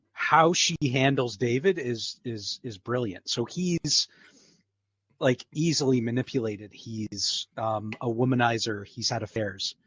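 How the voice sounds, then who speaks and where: A man's voice: mid-range voice, takes it slowly, fairly bright tone, no echo. Man in a dull, dry recording. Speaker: guest voice actor. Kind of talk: casual interview chat.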